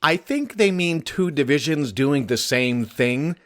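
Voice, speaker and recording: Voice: mid-range voice, animated, measured pace, close to an everyday voice. Performer as a scornful, pedantic editor. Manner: scornful, emphatic. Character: indignant, contemptuous, pedantic editorial colleague. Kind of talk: comic audio drama acting.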